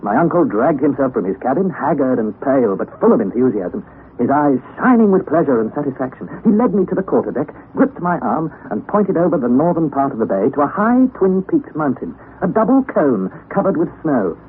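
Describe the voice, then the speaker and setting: Casting: male narrator, expressive, vintage radio-drama sound. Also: medium pitch. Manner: measured storytelling, expressive. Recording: phone-like narrow 1960s radio broadcast with faint hiss.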